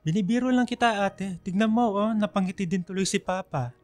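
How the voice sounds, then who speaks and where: Man acting cheeky younger brother, radio drama, high male voice, teasing, close dry sound. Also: fairly quick, dry recording, lightly acted. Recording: music behind the voice.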